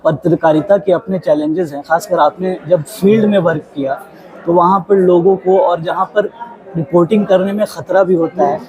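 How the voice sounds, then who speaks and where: A man's voice: high-pitched, fairly even intonation, normal speed, crowd noise, poor audio. A man working as an interviewer, putting long, meandering and polite questions to a guest. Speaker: interviewer, journalist.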